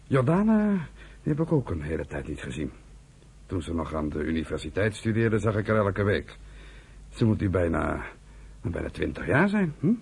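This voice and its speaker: An actor playing an embittered, fervent old uncle who leads an outlawed militia in a Dutch radio play, speaking in a rough, halting, emphatic way. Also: male voice, low-pitched, big pitch swings, darkish tone, heavily acted. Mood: fond. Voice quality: husky, rough.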